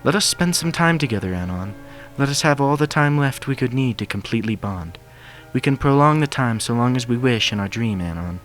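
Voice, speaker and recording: A gentle royal mare speaks in formal, old-fashioned courtly phrases, voiced by a man. She is soft-spoken and affectionate, a storybook princess with music playing behind her. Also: medium pitch, animated, brisk, pitched above the performer's own voice, natural voice. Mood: loving, inviting.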